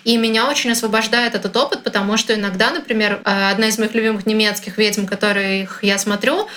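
A young woman from Russia chatting casually as a guest, lively and informal with plenty of slang. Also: medium pitch, quick pace. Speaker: guest, content creator. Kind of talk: casual conversation.

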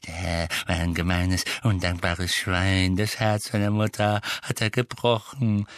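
A man's voice: low voice, flat delivery, unhurried, clear, resonant tone, no echo. A man voicing a servile, bitter old house-elf who mutters resentful asides and speaks of himself in the third person. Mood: bitter muttering.

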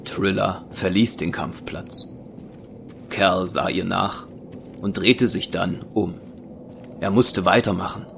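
A man's voice: sits low, steady tone, slow pace, vocal fry, long pauses, noisy background. A man narrating a German audio drama in a scripted, dramatic storytelling style.

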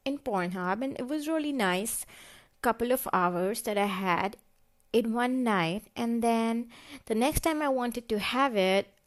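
A woman's voice: on the high side, unhurried. A woman telling an embarrassed comic story, hesitant and full of fillers and restarts. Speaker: guest storyteller. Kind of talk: confessional comic monologue.

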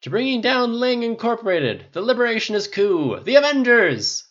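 A narrator acts out a brash, hard-drinking party host in a story. The voice jumps well above ordinary talk, loud and emphatic, with big swings, on a slightly dull recording. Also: medium pitch, huge pitch swings, normal speed, slightly rough, pitched above the performer's own voice. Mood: rowdy toasting. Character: brash, boozy, excitable man, rich party-throwing boss.

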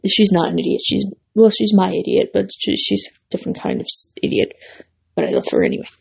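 This is a young woman hosting her own casual podcast, drifting from thought to thought with lots of fillers. Her voice is husky and dark, with a gravelly edge, and she sounds stuffy and worn, as though she's losing it. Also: mid-range voice, big pitch swings, a little fast. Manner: rambling, lots of fillers and restarts. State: losing her voice, runny nose. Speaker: podcast host. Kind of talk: casual solo podcast chat.

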